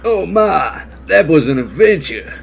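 A big, jolly bear from a children's storybook, played by a man, calls out in a raised, loud voice. He is theatrical and grandiloquent, savouring flowery phrases with great exuberance. There is noticeable noise behind him. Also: fairly high pitch, big pitch swings, a little slow, vocal fry, pitched above the performer's own voice, full character voice. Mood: amused, gushing.

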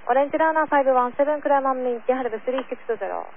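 Lady air traffic controller, high voice, short procedural calls, thin crackly radio. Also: fast talker. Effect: aviation radio transmission. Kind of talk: clipped radio phraseology. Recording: tinny, narrowband, noisy radio channel.

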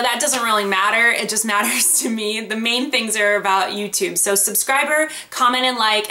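Young woman vlogger, upbeat and chatty, a touch high, echoey home room. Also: sharp tone. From Florida. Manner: chatty, lots of ums, upbeat. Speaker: beauty vlogger. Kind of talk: casual product haul talk. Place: home room with desk and lamp. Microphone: webcam at desk.

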